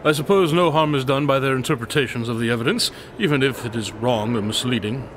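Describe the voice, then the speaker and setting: A man playing a coolly logical, flippant gentleman detective in an audio drama, with a wry, wordy, formal manner. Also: medium pitch, fast, background noise, lightly acted. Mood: dry, analytical.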